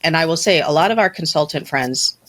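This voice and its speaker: A woman hosting a podcast interview, talking in a lively, ranting way and laughing often. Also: deep voice, fairly quick. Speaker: podcast host. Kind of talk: casual interview chat.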